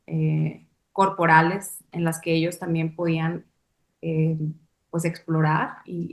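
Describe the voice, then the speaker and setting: A young Mexican woman from Sonora, a choreographer, answering interview questions in a thoughtful way and pausing to search for words. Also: fairly low pitch, reverberant. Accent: northern Mexican. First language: Spanish. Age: young adult. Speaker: guest choreographer.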